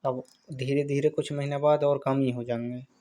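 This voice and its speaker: A man in a casual phone chat, rambling and teasing in a drawn-out way. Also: medium pitch, level tone, dark tone. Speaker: caller in a couple's phone chat. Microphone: phone call.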